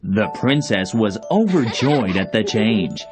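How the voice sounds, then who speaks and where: Slow, expressive male storybook narrator. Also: on the low side, faint music behind.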